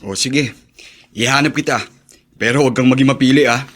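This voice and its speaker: A man's voice: medium pitch, a little fast, a bit bright, natural voice. A man acting an easygoing, frank young buddy in a Filipino radio drama, with a Filipino accent. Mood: casual, frank. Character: easygoing, street-smart young buddy, frank but helpful.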